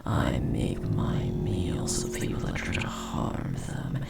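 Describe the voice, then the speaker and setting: Man voicing a whispering, creaky insectoid monster. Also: a bass voice, big pitch swings, exaggerated voice. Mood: possessive, menacing. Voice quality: breathy, whispery with gravelly creak. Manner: slow, sinister, coolly condescending, tender about family. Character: male insectoid parasite monster, possessive, devoted, menacing mate. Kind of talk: horror audio roleplay acting.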